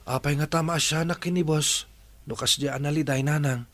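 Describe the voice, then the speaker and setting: A man's voice: a little high, steady tone, normal speed, fairly bright tone, natural voice. An actor playing an earnest, helpful young man in a radio drama, talking conversationally.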